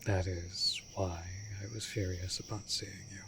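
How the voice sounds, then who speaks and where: Man acting a slow, low-voiced immortal vampire lover. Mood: grave, confessing. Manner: formal archaic wording, slow and deliberate, often dropping to breathy whisper. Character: immortal male vampire master, tender, brooding, lonely lover. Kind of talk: scripted romantic fantasy audio roleplay. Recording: steady background noise of night crickets. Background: night insects and crickets.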